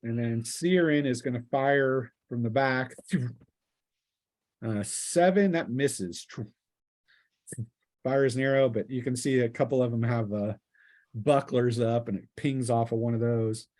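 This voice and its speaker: A man's voice: medium pitch, measured pace, darkish tone. A man speaking casually as game master, narrating a tabletop role-playing game with vivid sound-effect words.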